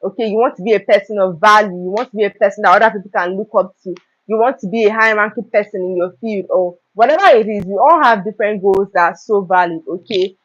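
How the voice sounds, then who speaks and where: A young woman hosting a live stream, talking earnestly about self-improvement and often checking in with "okay?" and "right?". Her throat is slightly hoarse. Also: mid-range voice, quick pace. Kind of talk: motivational talk on a live stream. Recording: dull lo-fi live stream audio.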